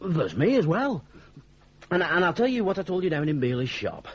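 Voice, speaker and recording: Gormless, soft-hearted young Northern English son from a 1980s radio play. The voice is high and husky, with wildly swinging pitch as he hesitates and rambles through his protests. The old recording sounds dull. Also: sing-song, normal speed, exaggerated voice. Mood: plaintive. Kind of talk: 1980s British radio drama acting.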